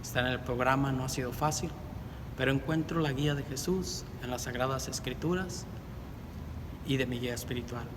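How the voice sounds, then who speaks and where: A middle-aged man giving an earnest, measured personal faith testimony as a deacon candidate. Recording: reverberant with loud rumble.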